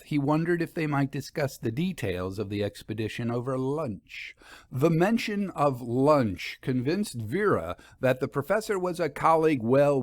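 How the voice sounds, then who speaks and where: Expressive male storyteller, very dry sound. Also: mid-range voice, no echo. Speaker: story narrator. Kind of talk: scripted literary narration.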